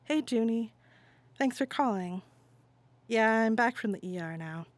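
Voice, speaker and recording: A woman's voice: on the high side, animated, a little hiss or hum, pitched above the performer's own voice. Woman acting out an exasperated patient venting by phone. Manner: casual, venting, halting one-sided phone talk. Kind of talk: dramatic monologue, conversational stage reading.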